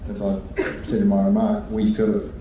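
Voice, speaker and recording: A man, a regulator answering questions on a conference panel in a measured way, thinking aloud with restarts. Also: on the low side, monotone, lo-fi sound, a little hiss or hum. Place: conference hall. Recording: echoey hall, voice from far off.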